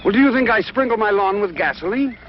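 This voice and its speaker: An actor playing a dignified, wary cabinet secretary in a vintage television drama, formal and authoritative. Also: male voice, sits high, animated, fast, music in the background. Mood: startled, indignant. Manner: formal, authoritative, easily startled. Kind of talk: 1950s television drama acting. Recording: muffled, noisy outdoor scene on vintage soundtrack.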